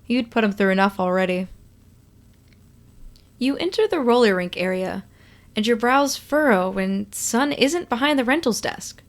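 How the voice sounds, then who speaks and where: Woman reading fan fiction out loud, high voice, expressive storytelling. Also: normal speed, long pauses. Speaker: podfic reader. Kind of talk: expressive scripted story narration in second person.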